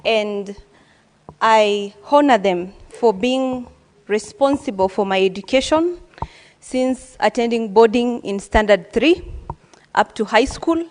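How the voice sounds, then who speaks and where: A woman's voice: fairly high pitch, slow, a little mellow, in a large hall. A woman giving an earnest, formal speech as a senator, with frequent hesitations. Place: legislative chamber.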